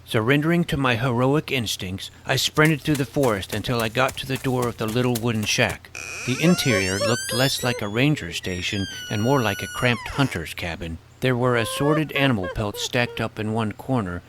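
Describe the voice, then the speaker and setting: A man's voice: medium pitch, wildly swooping pitch, moderate pace, noisy background. A man narrating a comic fantasy adventure in the first person as its self-important young hero.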